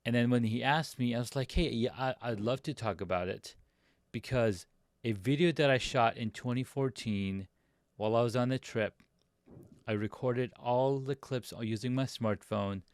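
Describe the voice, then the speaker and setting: A man's voice: mid-range voice, moderate pace, clear, resonant tone, dry recording, studio quality. A middle-aged man who grew up in Pakistan, Saudi Arabia and the US, chatting upbeat as a guest on a video podcast, with a few restarts. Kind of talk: casual storytelling on a video podcast.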